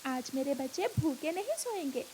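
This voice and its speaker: A woman's voice: high-pitched, sing-song, moderate pace, a little mellow, a little hiss or hum. A woman voicing a caring, determined mother bird in a cartoon, speaking earnestly and with some worry.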